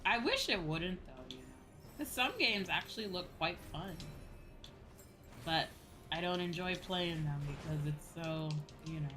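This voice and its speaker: A woman's voice: lowish voice, animated, unhurried. A woman livestreamer talking casually and informally to her viewers while she plays, with some casual swearing. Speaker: livestreamer playing online games and chatting with viewers. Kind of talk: casual gaming stream chat. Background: game music.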